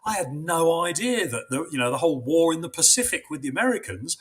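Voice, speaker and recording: A man's voice: on the high side, big pitch swings, fast talker. English-born man telling stories. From England. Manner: storytelling, stumbles on starts. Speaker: guest. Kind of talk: casual video call chat.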